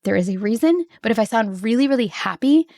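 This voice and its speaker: Slightly hoarse, chatty woman podcaster. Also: on the high side, lively intonation, quick pace, darkish tone, dry recording, clean studio sound. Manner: upbeat, grateful, chatty with frequent "like". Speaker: podcast host. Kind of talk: solo podcast monologue.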